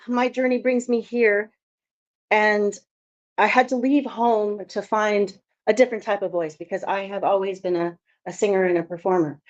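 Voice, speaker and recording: A woman's voice: mid-range voice, darkish tone. A woman from Alaska giving a conference talk as a filmmaker, telling her story in a chatty, anecdotal way. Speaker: filmmaker presenter. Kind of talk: conference presentation. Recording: dull lo-fi audio.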